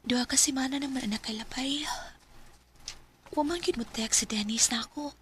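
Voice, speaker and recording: Woman acting lovelorn young Bisaya daughter, high voice. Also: fairly quick, over loud music, close to an everyday voice. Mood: sulky, brooding. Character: lovelorn, stubborn young daughter hurt by her boyfriend. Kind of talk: Bisaya radio drama acting with confiding narration.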